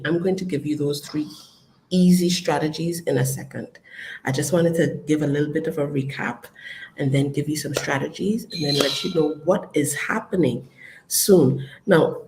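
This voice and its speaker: An adult host giving a casual live-stream update to followers, chatty and upbeat, with frequent ums and shout-outs. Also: mid-range voice, a little hiss or hum, poor audio. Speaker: business community host.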